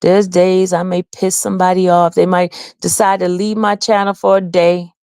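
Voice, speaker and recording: A woman's voice: lowish voice, flat delivery, normal speed, mellow, clear, resonant tone, no echo, clean studio sound. A woman hosting her own livestream channel, talking earnestly and from the heart to her viewers, now and then answering the chat. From Haiti. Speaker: channel host. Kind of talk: casual livestream talk to viewers.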